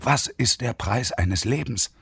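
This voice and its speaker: An actor playing a bitter, desolate unemployed engineer around fifty in a radio play, with a husky, brooding delivery. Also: male voice, mid-range voice, animated, measured pace, a bit bright, dry recording, full character voice. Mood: bitter, agitated. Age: middle-aged. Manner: brooding, often whispery delivery. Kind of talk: German radio play acting, monologue.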